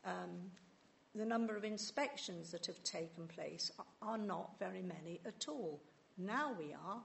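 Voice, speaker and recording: A woman's voice: mid-range voice, gravelly, a little hiss or hum. A woman councillor speaking in a formal council meeting, earnest and hesitant, often restarting her words.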